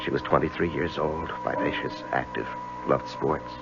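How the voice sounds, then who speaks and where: Deep gravelly haunted man, halting. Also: steady tone. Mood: confessional. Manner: halting, hesitant, stammering. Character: troubled middle-aged lawyer confessing he hears voices. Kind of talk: 1970s radio drama acting, narrating and in scenes. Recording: dull lo-fi 1970s radio, music underneath.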